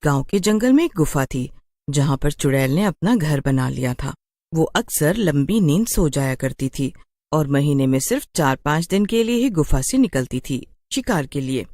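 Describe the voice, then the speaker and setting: A woman's voice: low-pitched, lively intonation, moderate pace, warm tone, no echo, clean studio sound. A woman narrating a scripted horror story for a cartoon in storybook style.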